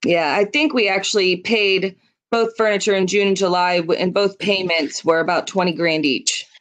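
A woman's voice: fairly low pitch, fairly even intonation, moderate pace, fairly bright tone, a little hiss or hum. A woman on staff answering a board member's question on a video call, explaining the figures plainly and helpfully.

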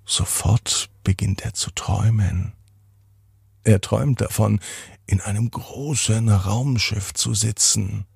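A man hosting a children's podcast, narrating bedtime stories in a gentle, deliberate manner and addressing children directly. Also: fairly low pitch, creaky, husky, dry recording, studio quality.

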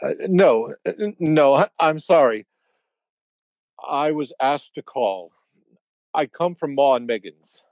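Nervous, stammering messenger played by a man, fairly high-voiced. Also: expressive, slow, long pauses, darkish tone, dry recording. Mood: nervous, awkward. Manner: hesitant, stammering, formal. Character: nervous, apologetic male company messenger, formal. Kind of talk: radio play acting.

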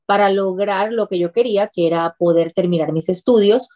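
A woman's voice: mid-range voice, moderate pace, warm tone, dry recording. A woman in her thirties with a Puerto Rican accent, an interview guest speaking earnestly on a talk show. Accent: Puerto Rican Spanish. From Puerto Rico. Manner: earnest, speaks with emphasis and repetition. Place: small studio office set.

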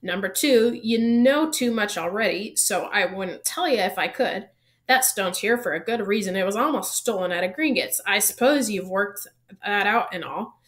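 A woman's voice: mid-range voice, lively intonation, normal speed, natural voice. A woman reading the part of a big, friendly, proud male gamekeeper with a folksy, informal way of talking in a storybook. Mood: guarded. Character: big bearded gamekeeper, man, proud, friendly, easily flattered. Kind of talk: audiobook character reading.

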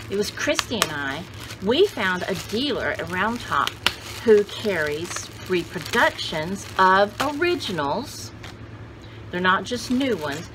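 An older woman talks at a slow, unhurried pace in a casual, rambling show-and-tell style. Her pitch sits in the middle for a woman, and she often thinks aloud. The recording is a homemade one with clear background noise. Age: older, about 60s. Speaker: vintage haul video host. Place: small indoor room with cluttered shelves. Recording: clearly noisy home camera recording.

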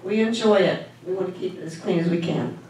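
A woman's voice: fairly low pitch, fairly quick, dark tone, slight background noise. An older woman from Northern Kentucky, speaking at a meeting for the first time in a friendly, rambling way. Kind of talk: informal remarks at a meeting. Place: large meeting hall indoors. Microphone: handheld mic, recorded from far camera. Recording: echoey hall from far off.

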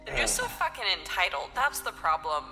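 A woman improvising a comedic game fandub as a blasé young woman who rambles in a casual, deadpan way. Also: medium pitch, fairly quick, vocal fry, music in the background, poor audio. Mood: sardonic, griping. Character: blasé, sardonic young woman, superhero's philosophizing friend.

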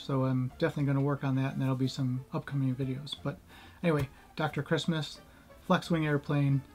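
A middle-aged man in a home room with bookshelves, speaking with a somewhat monotone delivery, first chatting casually, then reading scripted history narration. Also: on the high side. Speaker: history video creator.

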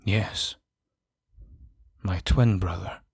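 Man acting a low, slow, husky, solemn nobleman. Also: fairly even intonation, a little mellow, no room sound, clean studio sound, heavily acted. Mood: solemn, regretful. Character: grave, injured nobleman, twin of a villain. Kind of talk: dramatic audio drama acting.

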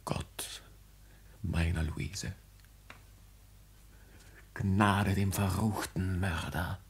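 A man's voice: sits low, fairly even intonation, drawn-out words, gravelly, heavily acted. An actor playing an anguished, bitterly vengeful young nobleman in a 1950s German radio play, with theatrical declamation. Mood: grave, broken.

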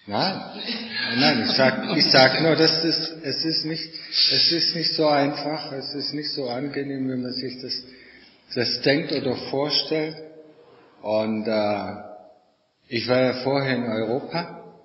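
A man's voice: mid-range voice, fairly even intonation, slow pace. A middle-aged man, a Buddhist monk, giving an informal dharma talk in a conversational way, repeating phrases for emphasis. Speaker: Buddhist monk and meditation teacher. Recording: echoey hall, long reverb, muffled sound.

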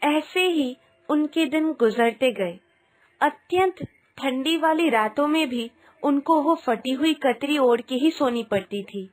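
A woman's voice: sits high, expressive, slow pace. A woman narrating a scripted children's moral story as a storyteller. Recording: Indian instrumental music behind.

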